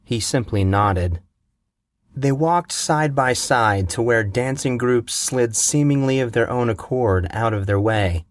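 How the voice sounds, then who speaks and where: Slow, measured male storyteller. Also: medium pitch, dry recording. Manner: measured, unhurried reading. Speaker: narrator. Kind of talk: audiobook fiction reading.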